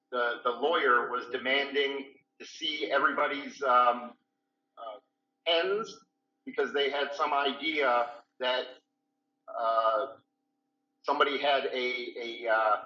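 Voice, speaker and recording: A man's voice: mid-range voice, slow, sharp tone. A man on a video call who recounts events casually and hesitantly, with frequent fillers. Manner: hesitant, many fillers, plainspoken. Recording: roomy, distant, lo-fi call audio.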